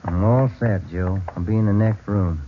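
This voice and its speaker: An actor playing a plain-spoken, businesslike police detective in a 1940s radio drama. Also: male voice, on the low side, moderate pace, lightly acted. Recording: muffled, noisy old radio recording.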